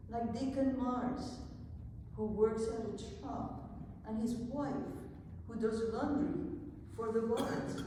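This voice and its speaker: A woman performs a young enslaved woman who yearns for freedom in a dramatic poem. She speaks slowly, solemn and resolute. The sound is distant and very reverberant, as in a large hall. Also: medium pitch, mellow, close to an everyday voice. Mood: yearning, determined. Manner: deliberate, measured, solemn. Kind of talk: dramatic poetry reading. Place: echoing indoor hall before a live event. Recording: echoey hall, distant mic, faint noise.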